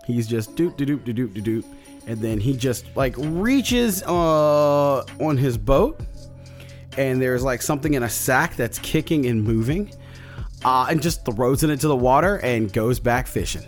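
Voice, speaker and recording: Slightly hoarse man rambling a game recap. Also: medium pitch, animated, a little slow, soft background music. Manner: rambling, lots of fillers and restarts. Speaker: podcast host recapping a tabletop campaign. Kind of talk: casual solo recap.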